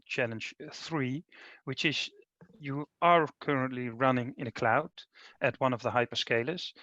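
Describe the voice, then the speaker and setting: A man with a Dutch accent walks through a webinar presentation, filling his pauses with ums and uhs. The sound is dull and dry, with no room behind it. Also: medium pitch, sharp tone, no room sound. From Netherlands. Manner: hesitant, many ums and uhs. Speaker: managing director presenting.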